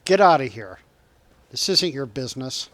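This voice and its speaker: Male streamer, relaxed running chat with viewers over gameplay, mid pitch. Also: normal speed. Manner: chatty, reacts to chat and game, laughs often. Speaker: game streamer.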